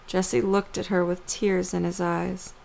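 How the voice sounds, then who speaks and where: A woman reading scripted story narration for an audio drama in a measured, creaky voice. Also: fairly low pitch, little pitch movement, a little mellow, low-quality recording.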